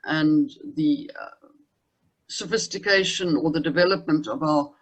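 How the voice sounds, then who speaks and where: High-voiced South African man, measured and formal. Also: a bit bright, poor audio. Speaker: panelist. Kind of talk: formal panel discussion.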